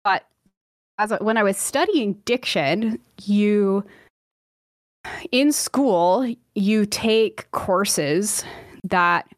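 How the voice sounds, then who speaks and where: A woman's voice: mid-range voice, extremely slow, pauses often, no room sound, clean studio sound. A woman who sings opera, chatting in an interview and filling her talk with "like" and "um". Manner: chatty, lots of "like" and "um", laughs easily. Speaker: opera singer guest. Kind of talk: casual interview chat.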